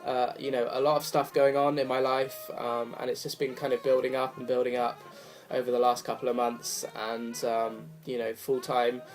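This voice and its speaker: A man's voice: medium pitch, flat delivery, moderate pace, hardly any pauses. A young man with a cold talks casually about his records, rambling and apologetic with lots of fillers. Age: young adult. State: hoarse from a cold. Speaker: vinyl collector vlogger. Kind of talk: casual chat to camera. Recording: faint music behind the voice. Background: jazz record playing softly.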